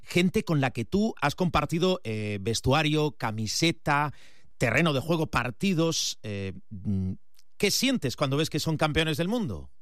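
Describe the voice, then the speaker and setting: A man's voice: fairly high pitch, very animated, unhurried, a bit bright, no room sound, clean studio sound. A man conducting a casual sports interview in an informal, encouraging way.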